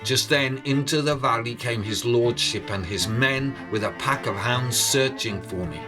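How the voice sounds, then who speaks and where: A man telling a tale in a deliberate, suspenseful, theatrical storytelling style. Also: mid-range voice, over music. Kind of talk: dramatic oral storytelling, scripted narration. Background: musical instrument.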